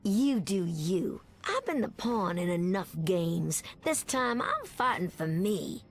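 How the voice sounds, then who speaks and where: Tough flirty superheroine, American Southern drawl, creaky edge, animated melody, video game. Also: female voice, mid-range voice, slow pace. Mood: wry. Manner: drawling, folksy, teasing. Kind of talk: video game character voice acting.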